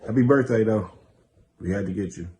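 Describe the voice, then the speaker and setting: Low-voiced American man, loose and slangy. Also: brisk, mellow. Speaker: prank video host. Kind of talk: casual vlog chat and reactions.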